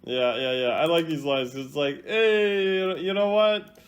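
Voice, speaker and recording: A young man giving casual, playful reaction commentary as a gaming video host, jumping between loud exclamations and low mutters. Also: a little slow. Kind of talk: casual reaction commentary ranking game voice lines.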